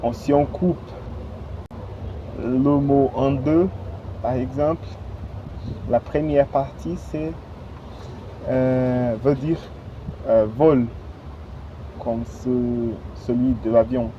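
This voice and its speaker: A man speaks slowly and haltingly, pausing often to search for the right word. His voice sits in a middle pitch. The recording is dull and lo-fi, made outdoors with clear traffic noise from the street around him. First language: Portuguese. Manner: halting, searching for words, many fillers. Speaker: language learner. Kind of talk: casual vlog monologue.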